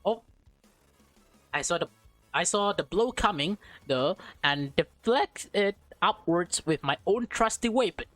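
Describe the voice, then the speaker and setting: A woman reads a visual novel aloud as a let's play streamer, in a dry, close sound with faint music underneath. Her delivery is expressive, broken up by casual interjections and stumbles over the text. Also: fairly low pitch, a little slow, dry recording.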